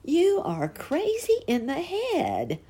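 A woman's voice: low voice, big pitch swings, creaky, dark tone, husky, heavily acted. A woman voicing a grumpy, scornful male earthworm in a storybook reading. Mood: mocking. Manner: mocking, drawn-out scolding.

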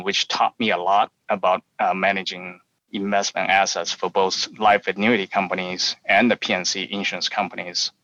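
A man in his forties with a Chinese accent, a guest on a podcast interview, talking in a measured way with many fillers. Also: low voice, pushed and bright, no echo. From China. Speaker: guest, insurance investment professional. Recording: dull, lo-fi sound.

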